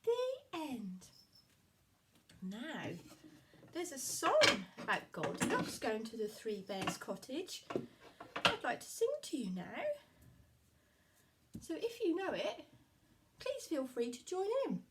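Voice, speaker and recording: A woman's voice: sits high, wildly swooping pitch, takes it slowly, long pauses, very noisy. A woman telling a puppet story to young children in an encouraging, sing-song way.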